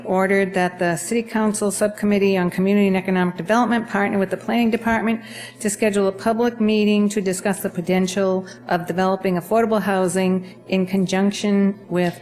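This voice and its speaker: Soft-spoken woman councilor. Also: medium pitch. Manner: soft-spoken, hesitant with fillers. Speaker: city councilor. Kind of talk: council meeting remarks. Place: council chamber.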